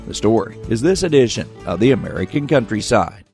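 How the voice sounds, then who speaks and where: A man narrating a news feature story in a measured, scripted delivery. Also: on the low side, very animated, gravelly, a little mellow, faint music behind. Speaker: reporter.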